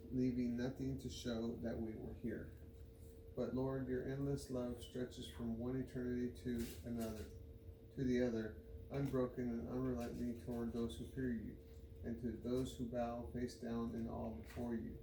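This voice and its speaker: Evenly pitched man reading scripture, noisy echoey room. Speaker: scripture reader. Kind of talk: devotional Bible reading aloud. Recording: roomy echo, loud background noise.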